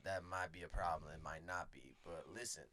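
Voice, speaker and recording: Deep-voiced man rambling absurd comedy. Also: a little fast, sharp tone. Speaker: podcast co-host. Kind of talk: improvised comedy banter.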